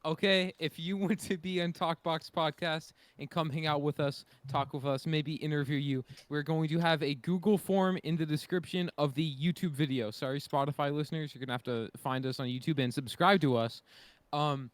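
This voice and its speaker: A man with a fairly high voice talks as a podcast co-host. He keeps it casual and conversational. The recording is close and dry. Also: fairly even intonation, moderate pace, dry recording. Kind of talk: casual group podcast chat.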